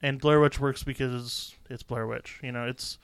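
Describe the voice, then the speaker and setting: A man in casual podcast conversation, rambling through a film's plot with easy fillers. His voice is smooth and resonant, recorded close with almost no room sound. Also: medium pitch, steady tone, normal speed, dry recording. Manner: laid-back, rambling with fillers and restarts. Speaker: podcast co-host. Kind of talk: casual movie review chat.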